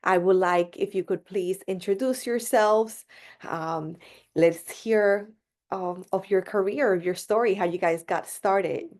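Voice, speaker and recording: A woman's voice: medium pitch, unhurried, darkish tone. A woman hosting an online webinar, welcoming guests in an upbeat way and repeating words for emphasis, speaking over a video call. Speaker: webinar host.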